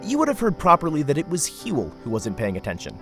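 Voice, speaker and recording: A man's voice: a little high, huge pitch swings, fast talker, a bit bright, soft background music, heavily acted. A man acting a smug, witty young brother with a sarcastic, teasing manner in an audio drama.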